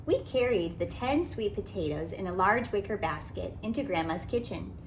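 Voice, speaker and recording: A woman hosting a read-aloud as a children's author, narrating a picture book in a deliberate, animated way for young children. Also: mid-range voice, a little hiss or hum. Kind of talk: expressive children's storybook narration.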